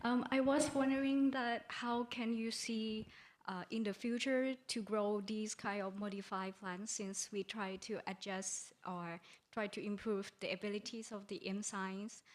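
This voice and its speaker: A woman's voice: mid-range voice, fairly even intonation, measured pace. Young woman, earnest student question. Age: young adult. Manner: earnest, searching for words. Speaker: PhD student in audience. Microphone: standing mic in audience.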